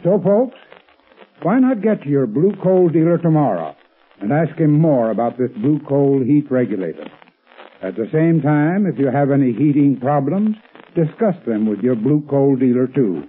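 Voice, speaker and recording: An American man with a husky voice, delivering a scripted 1930s radio advertisement as a company expert. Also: mid-range voice, animated, normal speed. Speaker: company heating expert giving a sponsor talk. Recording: tinny 1930s radio recording.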